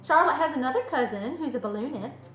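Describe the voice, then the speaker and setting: A woman voicing an eager, imaginative, stubborn young farm girl in a storybook, earnest and excitable. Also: high-pitched, a little fast. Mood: excited, insistent. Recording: roomy echo, music underneath.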